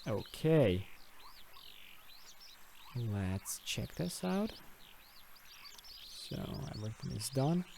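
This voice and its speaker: A man's voice: mid-range voice, sing-song, drawn-out words, creaky, pauses often, darkish tone, a little hiss or hum. A man giving an unscripted screen-recorded tutorial as its host, hesitant and thinking aloud step by step. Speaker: host of a video game modding tutorial.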